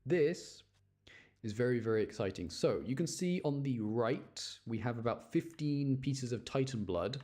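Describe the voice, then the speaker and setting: A man's voice: medium pitch, lively intonation, a little slow, warm tone. A man giving casual live gameplay commentary as a streamer, chatty and explanatory. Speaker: game streamer.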